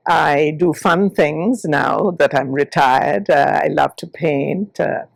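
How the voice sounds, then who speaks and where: Older woman, from Sri Lanka, podcast guest, measured and relaxed, gravelly, dry close sound. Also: deep voice, dry recording. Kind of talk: podcast interview self-introduction.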